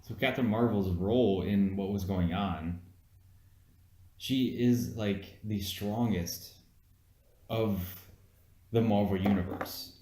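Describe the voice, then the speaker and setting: A man's voice: on the low side, slow, pauses often, warm tone, a little hiss or hum. A man chatting casually as co-host of a film review, blunt and argumentative, swearing freely, recorded in an echoey room. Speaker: podcast co-host.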